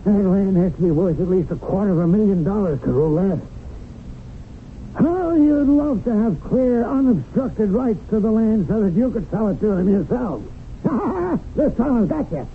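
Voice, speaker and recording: An actor in a 1970s radio drama playing an excitable, gullible land buyer with a huffy, emphatic manner. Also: male voice, high-pitched, moderate pace. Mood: gloating. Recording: tinny band-limited old radio broadcast, hissy.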